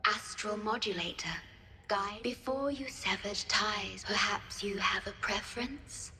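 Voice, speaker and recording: An actress playing a gentle, solemn and devoted female guardian in a video game, speaking formally in measured, breathy phrases. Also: mid-range voice, roomy sound, slight background noise. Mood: solemn, tender. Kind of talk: scripted video game cutscene acting.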